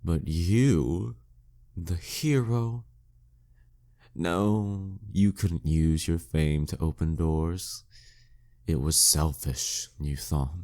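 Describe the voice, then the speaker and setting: A man's voice: deep voice, dark tone, full character voice. A man playing a proud, dominant demon king in an audio roleplay, teasing and condescending in an intimate scripted monologue. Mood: mocking, teasing. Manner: slow, teasing, condescending, smugly theatrical. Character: dead male demon king, taunting, dominant, proud former nemesis.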